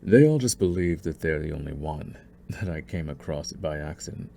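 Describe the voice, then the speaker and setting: A man playing a possessive, scheming young mafia boss in an ASMR roleplay, smug and deliberate, with mock courtesy. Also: very deep voice, animated, vocal fry, warm tone, heavily acted. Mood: smug menace. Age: young adult.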